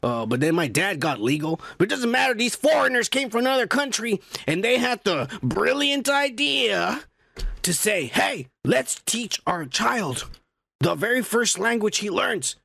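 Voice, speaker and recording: A raspy Mexican-American man with a high, gravelly voice that swings all over as he jokes. His talk is bright and edgy, full of profanity and laughter, and the room sounds dry and close. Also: big pitch swings, a little slow, no echo. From United States. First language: Spanish. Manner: loud, profane, jokey, laughs often. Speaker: podcast co-host. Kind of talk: crude casual banter. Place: home, indoors.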